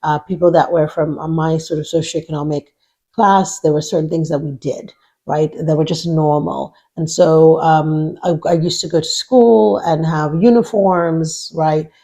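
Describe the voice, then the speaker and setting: A middle-aged woman from Nigeria speaking as an executive coach, giving deliberate, emphatic motivational advice in an instructive way. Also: low voice, fairly even intonation, mellow. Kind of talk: motivational advice talk to camera.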